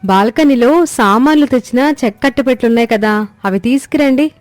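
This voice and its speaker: A woman voicing a kind, capable young village daughter-in-law in a cartoon story, brisk and reassuring. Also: a little high, no room sound, faint music behind, pitched above the performer's own voice, close to an everyday voice.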